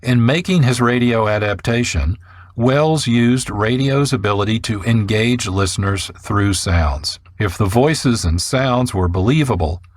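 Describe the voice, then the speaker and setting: Low-voiced man narrating, dry studio sound. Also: dry recording. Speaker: narrator and host of a radio documentary drama. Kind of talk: scripted documentary narration.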